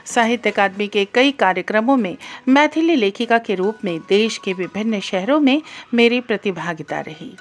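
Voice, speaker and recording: A woman's voice: medium pitch, lively intonation, normal speed, hardly any pauses, faint music behind. A middle-aged woman from Bihar, a native Maithili speaker, introducing herself to listeners as a radio presenter in a scripted style. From Bihar, India.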